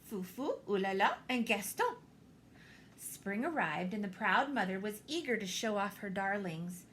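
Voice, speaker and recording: A woman reading a children's picture book aloud, expressively, with theatrical pauses and deliberate emphasis. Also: on the high side, a bit bright, a little hiss or hum. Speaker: storybook reader, teacher.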